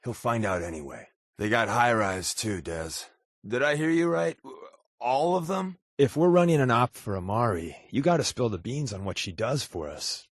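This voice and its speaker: A man acts out a wisecracking male undercover agent for a video game. His delivery is casual and sardonic, with a lively, mobile pitch that sits in a middle male register. The sound is dry and free of room echo. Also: normal speed, close to an everyday voice. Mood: wry, playful. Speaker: voice actor playing a game companion. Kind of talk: video game character dialogue.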